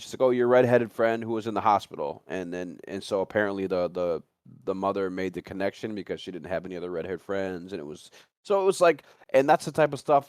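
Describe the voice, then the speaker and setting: Male talk panelist, low voice, dry close sound, casual and rambling, circling back over phrases. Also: darkish tone, clean tone, no room sound. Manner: rambling, halting with repetitions. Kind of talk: casual speculative discussion.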